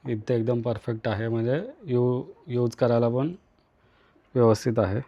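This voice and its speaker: A man's voice: medium pitch, unhurried, dull audio. A man with an Indian accent from Maharashtra gives a casual hands-on tutorial, talking to viewers in short, repeated instructional phrases. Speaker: vlogger, tutorial host.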